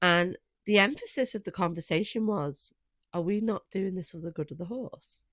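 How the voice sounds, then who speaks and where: A woman with a slightly low voice co-hosts a podcast in easy, casual conversation. The sound is close and dry, with no room around it. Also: moderate pace, a bit bright, no room sound. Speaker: podcast co-host. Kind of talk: casual chat.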